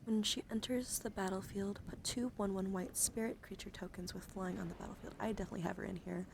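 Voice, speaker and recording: A woman's voice: medium pitch, steady tone, fast talker, creaky, noisy background. Whispery woman doing playful ASMR. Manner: whispery, drifting between soft whispers and soft-spoken talk; playful, coaxing, talking directly to viewers. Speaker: livestreamer, VTuber. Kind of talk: ASMR livestream, casual chat over trading cards.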